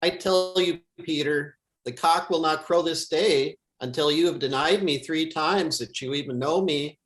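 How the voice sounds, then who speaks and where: A man reads scripture as a pastor would, in a solemn, measured and deliberate liturgical style. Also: a little high, fairly bright tone, rough recording.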